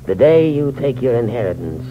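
An actor playing a gentle, hesitant old uncle in a 1940s radio mystery, speaking in a halting, formal way. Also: male voice, medium pitch, big pitch swings, natural voice. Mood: gentle, wistful. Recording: muffled old radio recording, background hiss.

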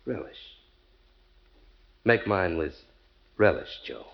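An actor playing a husband who shifts between amusement and menace in an old-time radio drama, speaking in clipped, insistent phrases. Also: male voice, low-pitched, very animated, takes it slowly, vocal fry, full character voice. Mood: quiet menace. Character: Ernie, husband, by turns amused and quietly menacing. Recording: dull old radio recording.

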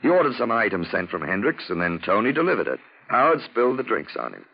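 A suave gentleman detective in an old 1940s radio drama, on a dull vintage recording. His low voice swoops expressively as he lays things out with urbane, witty self-satisfaction. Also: very animated, quick pace, gravelly, slightly rough, heavily acted. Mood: smug, explaining. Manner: urbane, theatrical, drawling explanations in lettered points.

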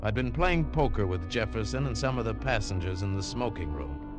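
A man's voice: lowish voice, animated, brisk, loud music behind the voice, natural voice. A man playing a tough American adventurer who narrates his own story with a terse, hard-boiled delivery in a 1950s radio drama. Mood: narrating. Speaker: narrator and lead.

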